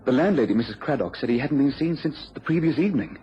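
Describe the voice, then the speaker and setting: Casting: male actor as fretful, earnest British client in a radio detective play, medium pitch, muffled sound. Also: expressive, fast, natural voice. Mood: worried. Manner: earnest, worried, stammering slightly. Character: anxious inventor's assistant pleading for a detective's help. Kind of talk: radio drama acting. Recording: dull, noisy radio recording.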